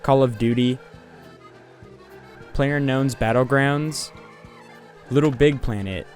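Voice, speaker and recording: A man's voice: medium pitch, slow pace. A young man doing a casual voice-over game review, sarcastic and profane. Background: faint music.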